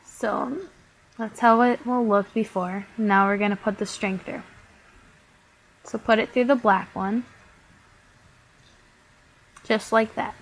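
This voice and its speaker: A woman's voice: mid-range voice, steady tone, takes it slowly, long pauses, low-quality recording. A young woman, stuffy from a cold, chattily talking through a bead craft tutorial step by step. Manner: chatty, many "like" fillers.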